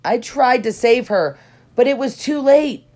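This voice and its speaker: Storybook villain prince, female reader: young, cold, scheming, loud delivery in a raised pitch. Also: expressive, measured pace. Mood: menacing. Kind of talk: dramatic storybook read-aloud.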